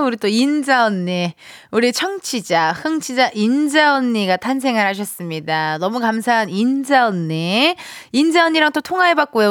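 A woman's voice: fairly high pitch, dry recording, clean studio sound. A woman radio DJ chatting casually on air with a caller, giggling often and teasing with dialect fillers. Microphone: studio mic.

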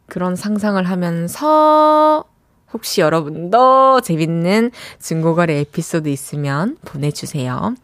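A woman's voice: fairly low pitch, very animated, measured pace, no room sound, clean studio sound. A young woman hosting a radio show, reading listener messages and chatting about them. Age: young adult. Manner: chatty, warm reactions to listener texts. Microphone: studio mic.

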